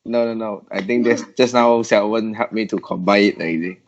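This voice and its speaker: A man's voice: lowish voice, fairly even intonation, a little fast. Malaysian man on a muffled call. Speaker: meeting participant. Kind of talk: informal work discussion. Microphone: video call. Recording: dull video call audio.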